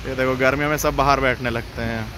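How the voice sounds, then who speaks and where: A man's voice: medium pitch, fast, background noise. A young man casually chatting to the camera while filming a food vlog in a busy restaurant. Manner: chatty, relaxed, talks to camera. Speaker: vlogger. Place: busy restaurant indoors, street outside earlier.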